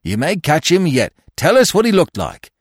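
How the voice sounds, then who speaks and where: An impatient, commanding police detective from a storybook, acted out by a male reader. He presses with stern urgency, his voice pushed up and swinging widely in pitch. The sound is very dry and close. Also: wildly swooping pitch, moderate pace, no echo. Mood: urgent, impatient. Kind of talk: audiobook character voice.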